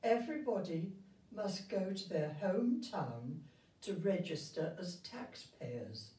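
An older woman reads a children's story aloud with measured, deliberate phrasing and pauses. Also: on the low side, big pitch swings, mellow. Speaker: story reader. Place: indoor room, seated before a festive backdrop. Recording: roomy, distant microphone.

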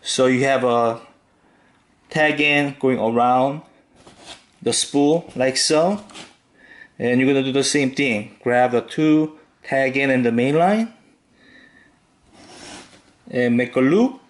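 A man hosting a fishing tutorial, calmly talking through each step with occasional fillers as he ties knots. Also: medium pitch. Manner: slow, relaxed instructional talk with fillers. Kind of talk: step-by-step demonstration voice-over.

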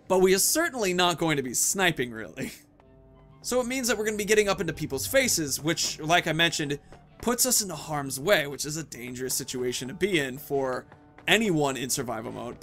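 A man's voice: a little high, fairly bright tone. A man in his thirties giving casual, chatty let's-play commentary, thinking aloud as he plays. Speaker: gaming video host. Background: video game sounds.

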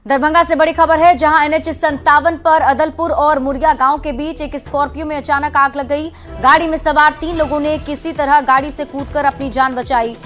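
A woman news anchor reading a bulletin of regional news in a brisk, formal headline style. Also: high-pitched, fairly even intonation, a bit bright, faint music behind. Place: television studio.

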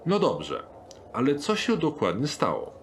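A man playing a polite, sales-minded locksmith in a dark fantasy audio drama, speaking in a courteous, businesslike, obliging way. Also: fairly high pitch, wildly swooping pitch, measured pace, slight background noise. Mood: helpful. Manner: courteous, businesslike, eager to please.

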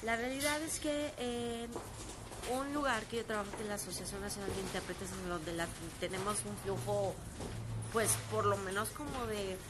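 A woman in her thirties with a Mexican accent talks casually and earnestly into her phone on an outdoor terrace. She is hoarse from a sore throat and dry cough, and she pauses often with fillers. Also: mid-range voice, steady tone, background noise. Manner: earnest, halting, with frequent fillers. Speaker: actress streaming live. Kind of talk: casual live-stream talk. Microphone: phone at arm's length.

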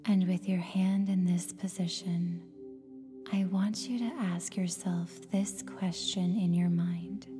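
A woman with a soft, breathy, near-whispered voice guides a meditation in a soothing, gentle way, leaving long pauses between phrases. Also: fairly low pitch. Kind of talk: guided meditation, scripted narration. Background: meditation music with singing bowl tones.

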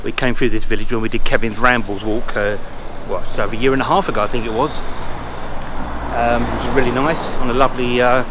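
A man's voice: mid-range voice, steady tone, fast talker. A man in his fifties with a South East English accent, chatting enthusiastically as he walks a village with a camera held at arm's length. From England. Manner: chatty, enthusiastic, reads short history notes aloud. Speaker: vlogger. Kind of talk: casual walking vlog commentary. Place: outdoors in a windy village street. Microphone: handheld camera at arm's length. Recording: outdoor wind and traffic noise.

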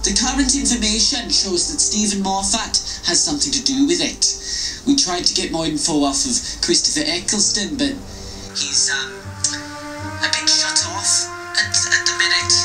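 A man putting on a lively, bad Welsh accent as a smug showrunner in a pre-recorded video message. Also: high voice, normal speed, echoey room, music in the background, low-quality recording. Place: small home office, indoors.

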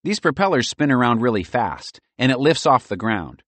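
A man voicing a spirited young schoolboy who talks eagerly and shouts back boldly. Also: medium pitch, sing-song, normal speed, no echo, voice pushed up.

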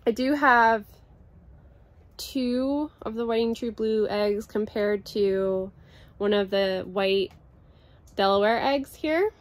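A woman in her thirties giving a casual, explanatory homestead vlog, unhurried and pausing mid-sentence. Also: mid-range voice. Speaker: homesteading vlogger. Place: outdoors in a covered chicken run and coop. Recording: outdoor ambient noise.

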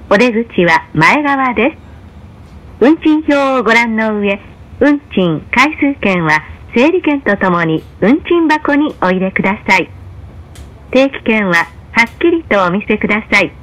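Woman doing train announcements, formal n measured, voice a bit on the high side. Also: expressive, fairly bright tone, rough recording. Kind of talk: scripted in-car train announcement.

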